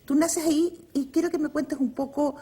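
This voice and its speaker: A woman's voice: sits high, fairly even intonation, moderate pace, mellow. A woman hosting a television interview in an informal, conversational way.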